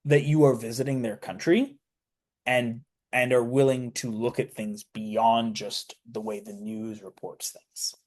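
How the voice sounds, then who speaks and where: A man's voice: mid-range voice, darkish tone. A young man, a student guest on a radio interview, talking casually with lots of fillers and restarts. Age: young adult. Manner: rambling, many fillers and restarts. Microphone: studio mic.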